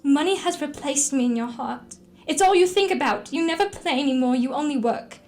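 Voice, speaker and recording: A heartbroken young fiancée played by a woman in a radio play. The high voice is earnest and emotional, full of reproach. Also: expressive, fairly quick, a little mellow. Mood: hurt, reproachful. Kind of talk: radio drama acting.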